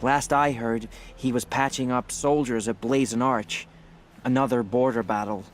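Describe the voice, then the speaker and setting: A man playing a gentle, resigned refugee and devoted brother in a video game, with a subdued, halting delivery. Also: medium pitch, steady tone, slight background noise, lightly acted. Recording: clear music behind the voice.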